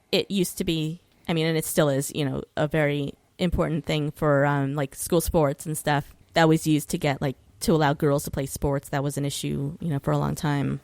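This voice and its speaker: A woman's voice: on the low side, no echo. A woman talking as a podcast guest, explaining things informally and hesitantly, with some swearing. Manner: informal, sweary, hesitant while recalling details. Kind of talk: casual conversational explanation of legal issues.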